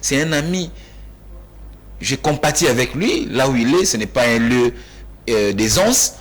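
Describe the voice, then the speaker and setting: A man from Kinshasa, a panelist in a heated studio debate who cuts in, repeats himself and rises almost to shouting. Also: medium pitch, animated, a little slow. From Kinshasa, DR Congo. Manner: insistent, interrupts, repeats himself emphatically, rising to near-shouts.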